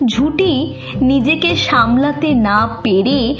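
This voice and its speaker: Lady reading a kids story, high voice, takes it slow, soft music under it. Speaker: narrator. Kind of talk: scripted children's story narration.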